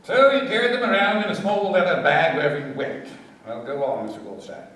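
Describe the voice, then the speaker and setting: A man playing a shrewd, composed detective inspector in a radio play, speaking with brisk, measured authority. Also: a little high, fairly bright tone, close to an everyday voice. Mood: brisk, probing. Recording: very echoey, distant from microphone.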